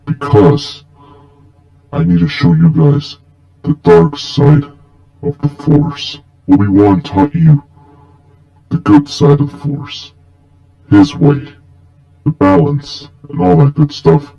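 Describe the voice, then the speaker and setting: A grave cyborg Sith lord acted by a man mentors in a fan-film monologue over music. The voice is gravelly and solemn, with a dark menace in the words and a slightly dull, lo-fi sound. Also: on the high side, full character voice. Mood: grave guiding. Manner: slow, solemn, instructive.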